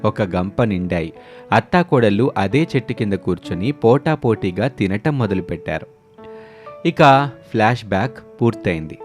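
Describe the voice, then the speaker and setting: A man narrating a scripted animated story as its storyteller, with music under his voice. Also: fairly low pitch, normal speed.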